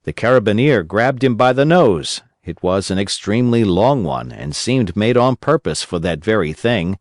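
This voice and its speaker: Male narrator for children's audiobook: gentle storyteller, fairly deep, very varied intonation. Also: expressive, moderate pace, no room sound, clean studio sound.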